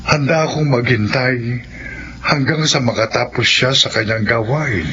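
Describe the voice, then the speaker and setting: A patient, persistent Filipino parish priest in a radio drama. The mid-pitched voice is bright and firm, on a dull recording. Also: male voice, unhurried. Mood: calm, firm. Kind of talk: radio horror drama acting. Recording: dull radio sound, faint noise.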